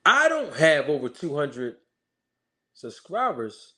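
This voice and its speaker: A man's voice: fairly high pitch, wildly swooping pitch, slow, bright, forceful tone. A male channel host giving an emphatic commentary rant, mocking critics with repeated rhetorical questions.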